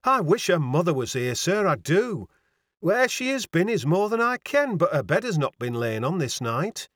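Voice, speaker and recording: A man's voice: high-pitched, big pitch swings, moderate pace, a bit bright, dry recording, clean studio sound, pitched above the performer's own voice, full character voice. A man voicing a fussy, kindly Yorkshire landlady in a storybook, exclaiming in deferential dialect and easily alarmed. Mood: flustered, alarmed.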